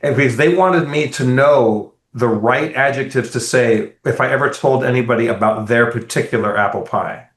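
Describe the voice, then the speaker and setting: A man co-hosting a podcast, telling a rambling, animated travel story in casual, profane chat. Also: medium pitch, moderate pace, hardly any pauses. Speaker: podcast co-host. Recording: roomy echo.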